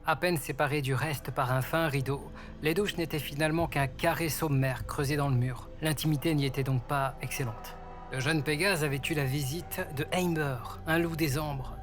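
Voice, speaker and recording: A man's voice: a little high, steady tone, normal speed. A man reading scripted narration for a French fantasy audio story. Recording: loud music under the voice.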